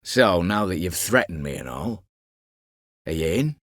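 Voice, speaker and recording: A man's voice: low voice, sing-song, a little slow, dry recording, clean studio sound, heavily acted. An actor voicing a scheming, vengeful disinherited nobleman turned heist leader in a video game, with a colloquial, wry, conspiratorial way of talking. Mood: calculating. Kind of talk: video game character dialogue.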